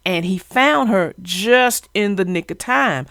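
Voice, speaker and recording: A woman hosting a children's story discussion, talking conversationally in an expressive, emphatic way. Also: mid-range voice, slow pace, no echo, clean studio sound. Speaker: host of a children's story channel.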